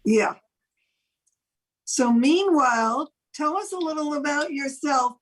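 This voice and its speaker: Slow-paced older woman hosting a chat. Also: sits high, lively intonation. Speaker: host. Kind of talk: casual chat, interviewing a guest.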